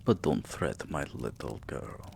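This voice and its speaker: A male actor voices a vampire king in an intimate ASMR roleplay, his voice very deep and thick with creak. He speaks very slowly in soft murmurs that slip toward whispers, possessive and tender with a menacing edge. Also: animated, a little hiss or hum, heavily acted. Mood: soothing, possessive. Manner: soft, slow, breathy murmurs dipping into whispers.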